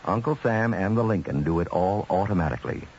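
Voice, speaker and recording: A man's voice: low-pitched, lively intonation, brisk, a little mellow. A man reading a scripted, folksy radio commercial as an announcer. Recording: muffled old radio, faint hiss.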